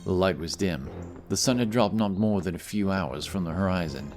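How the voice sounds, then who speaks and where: A man's voice: sits low, normal speed. A man reading scripted fantasy narration dramatically, with music playing behind him.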